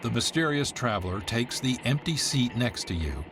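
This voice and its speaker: A man's voice: mid-range voice, sing-song, moderate pace, noisy background. A man narrating the history of an old radio series as the host. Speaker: program host. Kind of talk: scripted narration.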